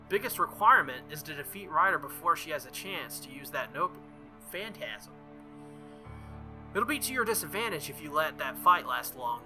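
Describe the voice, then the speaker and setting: A man streaming a visual novel, reading its dialogue aloud and adding playful, jokey commentary, sometimes stumbling and rereading. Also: a little high. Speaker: video game streamer.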